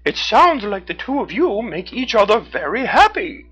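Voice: medium pitch, big pitch swings, normal speed, a bit bright, slightly rough, voice pushed up. A narrator voicing a proud storybook raccoon who speaks in an eager, direct and emphatic way. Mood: insistent.

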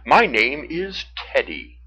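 A narrator voicing a big, gentle, caring brown bear in a deep, friendly storybook voice. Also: sing-song, takes it slowly, heavily acted. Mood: reassuring. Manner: friendly, reassuring.

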